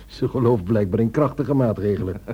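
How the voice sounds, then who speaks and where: An actor playing a guarded, courteous husband in a radio play, speaking in a measured, quietly firm and wry way. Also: male voice, on the low side, slightly rough, muffled recording.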